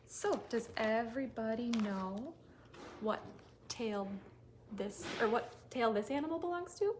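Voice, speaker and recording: A woman with a fairly high voice reads aloud to small children as a storyteller. Her delivery is animated and sing-song, addressed straight to young listeners. There is noticeable noise in the background. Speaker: children's librarian leading story time. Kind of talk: animated read-aloud for toddlers.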